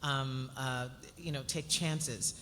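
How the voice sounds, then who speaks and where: Low-toned woman reflecting in an echoey hall. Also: steady tone, slow, a bit bright. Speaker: actor on a cast panel. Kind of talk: reflective, halting panel talk. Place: large hall at a live festival event. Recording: echoey hall, light noise.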